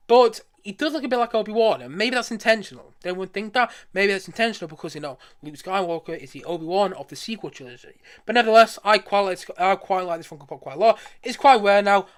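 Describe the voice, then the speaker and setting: Fast, high-voiced enthusiastic young-sounding male streamer. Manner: enthusiastic, rambling, repetitive. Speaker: streamer showing a collection. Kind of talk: casual live stream commentary.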